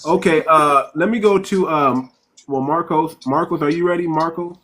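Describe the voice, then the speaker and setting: A man's voice: on the high side, a bit bright. A man hosting a call-in show, chatting casually with callers and repeating short affirmations. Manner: repeats "okay" and "man", quick interjections.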